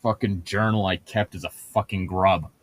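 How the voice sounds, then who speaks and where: A man's voice: low voice, fairly even intonation, moderate pace, noisy background. A man co-hosting a comedic read-along, reading a book aloud with casual, irreverent, sweary banter.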